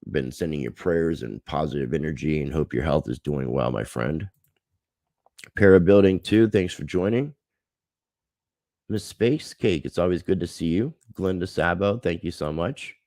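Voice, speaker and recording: A man's voice: extremely low pitch, expressive, normal speed, darkish tone, dry recording. A man hosting a livestream, chatting casually and earnestly to his viewers. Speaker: livestream host.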